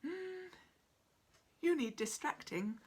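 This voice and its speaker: A woman's voice: high-pitched, expressive, slow, voice pushed up. A woman voicing a small, kindly male platypus doctor from a children's storybook, polite, firm and instructive. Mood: thoughtful.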